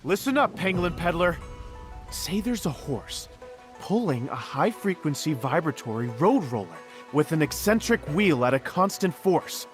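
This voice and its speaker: An actor playing a proud but self-doubting tinkerer in a video game, hesitant and easily flustered. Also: male voice, on the high side, big pitch swings, normal speed, faint music behind. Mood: determined. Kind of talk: video game character acting.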